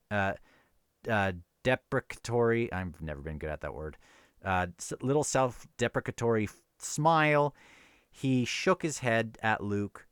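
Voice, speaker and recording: A man's voice: lowish voice, clean tone, no room sound, clean studio sound. A man casually talking through a book and reading bits aloud, informal and musing, sometimes stumbling over his words. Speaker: host of a book review show. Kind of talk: casual book discussion with read-aloud passages.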